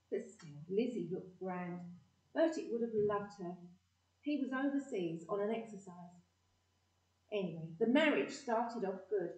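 Homely, chatty female storyteller, acted; soft mellow tone, distant in an echoey room, community drama. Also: mid-range voice, natural voice. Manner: chatty, wry. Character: wry, homely working-class woman telling family story. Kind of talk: storytelling narration in amateur audio play.

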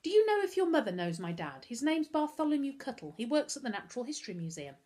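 A woman voicing an earnest, loyal young boy in a children's storybook, coaxing and gently persuasive. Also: high voice, sing-song, fast, voice pushed up. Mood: determined. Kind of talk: acted dialogue in a children's storybook.

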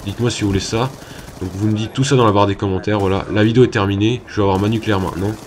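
A man's voice: lowish voice, steady tone, rapid-fire, a little mellow, slight background noise. A young man giving casual commentary over a gameplay video, talking hesitantly with many fillers and restarts. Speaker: gaming YouTuber.

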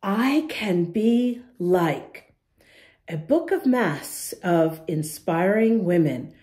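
A woman's voice: on the low side, animated, very slow, darkish tone. A woman reading a picture book aloud to young children, encouraging and emphatic, speaking to them directly. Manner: encouraging, addresses young listeners directly with questions. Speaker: children's storytime reader and host.

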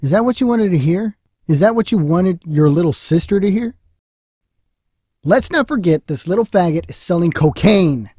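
A man's voice: high voice, lively intonation, moderate pace, darkish tone, breathy edge, dry recording, clean studio sound. A man playing a bitter, foul-mouthed, scolding father in an audio drama. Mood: frustrated, contemptuous. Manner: scolding, contemptuous.

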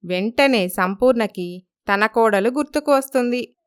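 Clear, higher-pitched woman narrator. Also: unhurried, no room sound, studio quality. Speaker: story narrator. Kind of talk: scripted moral story narration.

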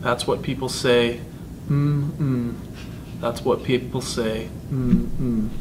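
A man giving a deadpan reading of lyrics in an office. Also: drawn-out words. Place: indoor school office. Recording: rumbly background noise.

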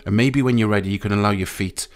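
A man guiding a meditation in a soothing, unhurried way, pausing between short phrases. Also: on the low side, steady tone. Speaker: meditation guide. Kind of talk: guided meditation. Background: music.

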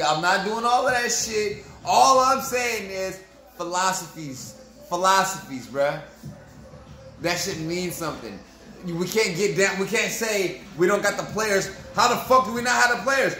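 Young man, fan vlogger, animated profane rant, echoey home room, faint music. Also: sits high. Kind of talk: ranting post-game fan review.

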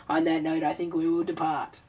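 A hoarse woman, losing her voice, bantering in a dry, teasing way during a casual sign-off. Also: deep voice, noisy background. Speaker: craft video host.

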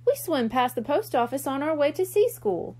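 Woman voicing a lively, cheerful merkitten girl. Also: sits high, normal speed. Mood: helpful. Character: cheerful, dutiful young girl cat-mermaid.

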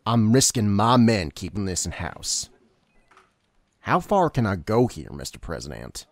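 A man playing a gruff officer who leads an armed squad in an audio drama. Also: lowish voice, sing-song, measured pace, dry recording, full character voice. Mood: wary.

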